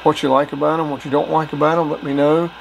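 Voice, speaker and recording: Guy doing a casual gear demo, voice a bit high, some noise behind him. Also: flat delivery, fast. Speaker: radio hobbyist reviewing gear. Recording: clear background noise.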